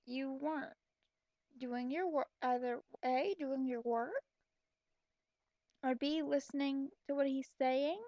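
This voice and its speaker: A woman's voice: high-pitched, fairly even intonation, takes it slowly, long pauses, no room sound, lo-fi sound. A woman giving sarcastic, exasperated commentary as she reads a story aloud.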